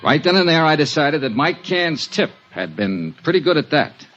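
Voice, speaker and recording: A man's voice: a little high, big pitch swings, moderate pace, dull audio, loud music behind the voice. An actor playing a wry, hard-boiled investigator in a 1950s radio drama, terse and matter-of-fact as he works and narrates his case. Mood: dry, matter-of-fact. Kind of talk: 1950s radio detective acting and first-person narration. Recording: old radio recording under loud vehicle noise.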